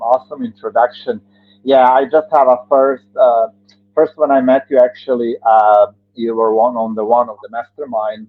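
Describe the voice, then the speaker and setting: A man's voice: mid-range voice, no room sound. A man with a Croatian accent hosting an interview over a video call, hesitant with many fillers and non-native grammar. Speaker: interview host. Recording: tinny phone-like line, dry.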